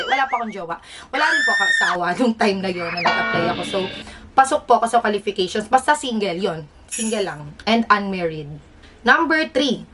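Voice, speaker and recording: A woman's voice: a little high, a bit bright, distant mic. A Filipino woman explaining things casually to vlog viewers in a chatty, informal way with joking asides. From Philippines. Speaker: vlogger, air traffic employee.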